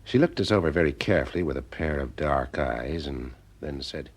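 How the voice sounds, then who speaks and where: An actor playing a wry, observant private eye in a radio mystery, with a husky voice and an informal way of talking. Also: male voice, extremely low pitch, animated, brisk, full character voice. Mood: wry, narrating. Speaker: lead actor and narrator in a detective audio drama. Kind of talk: first-person detective narration and dialogue.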